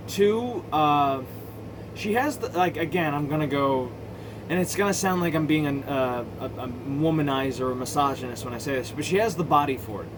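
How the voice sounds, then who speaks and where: A man giving casual, opinionated commentary in a halting, blunt way, often interrupting himself to rephrase and swearing freely. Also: on the high side, noisy background. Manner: halting, self-interrupting, circling back to rephrase; blunt, crude slang and swearing. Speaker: video game movie commentator.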